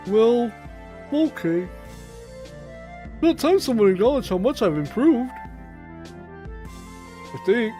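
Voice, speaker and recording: A man plays a gullible, self-important schoolboy from a visual novel. The voice is high and soft-toned, swinging widely in pitch over faint music. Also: sing-song, slow pace, long pauses, heavily acted. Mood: smug. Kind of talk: visual novel character read aloud.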